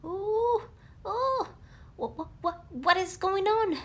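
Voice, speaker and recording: Woman voicing a squealing, high-pitched panicked little piglet. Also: animated, slow, slight background noise, heavily acted. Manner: stammering, repeating words in panic. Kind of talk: children's storybook character voice.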